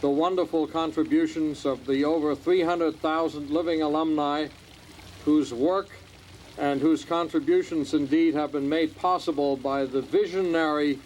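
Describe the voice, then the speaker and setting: Male ceremony speaker, 60s, fairly high voice, projected oratory outdoors, faint noise behind. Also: steady tone, moderate pace, a little mellow, poor audio. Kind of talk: formal outdoor speech. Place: outdoors on a campus. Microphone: podium gooseneck microphone.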